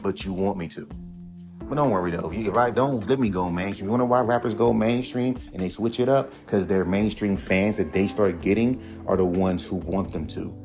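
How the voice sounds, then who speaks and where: Profane ranting man over music. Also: deep voice. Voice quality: self-described monotone voice. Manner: blunt, profane, animated rant. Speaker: podcast host. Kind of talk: casual ranting monologue. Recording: music playing behind the voice.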